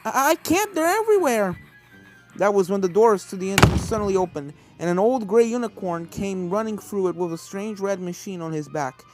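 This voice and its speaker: A woman's voice: mid-range voice, brisk, hardly any pauses, darkish tone. A woman reading a story aloud as scripted narration, with music playing behind her. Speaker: fan fiction reader.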